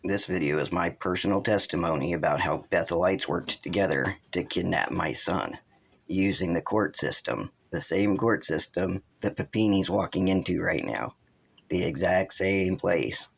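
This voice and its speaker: A man's voice: sits low, normal speed, creaky, breathy edge. A man hosting his own video channel, giving rambling, accusatory unscripted commentary. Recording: loud vehicle and background noise.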